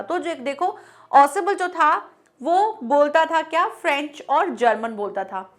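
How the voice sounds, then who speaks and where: A woman teacher, teaching an online class. She dictates answers slowly as she writes, then explains them in Hindi mixed with English. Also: high voice, fairly bright tone. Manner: slow dictation while writing, mixing Hindi and English.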